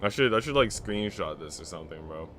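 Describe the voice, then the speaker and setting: Low-voiced man streaming over background noise. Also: lively intonation, a little fast, a bit bright. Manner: slangy, rambling, swears casually. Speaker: game streamer. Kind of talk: casual livestream chat mixed with reading a visual novel aloud.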